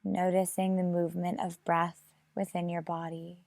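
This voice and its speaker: A woman leading a guided meditation in a measured way, leaving long pauses between phrases. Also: lowish voice, little pitch movement, vocal fry, a little mellow, no echo.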